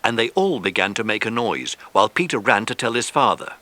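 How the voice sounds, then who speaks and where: A man narrating a children's adventure story from a script in an expressive storytelling style. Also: lowish voice, brisk.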